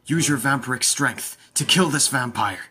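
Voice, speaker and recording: A man voicing an aristocratic young vampire master, commanding yet doting, formal and measured, in an audio roleplay. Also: mid-range voice, pushed and bright, natural voice. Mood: commanding, intense. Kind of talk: ASMR audio roleplay acting. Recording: music behind the voice. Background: background music.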